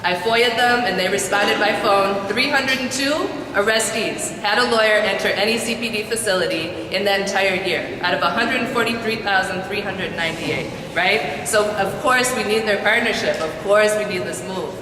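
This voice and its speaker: A woman's voice: medium pitch, moderate pace, few pauses. A woman attorney making an earnest, emphatic public statement at a press conference. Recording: very echoey hall, distant mic, noisy.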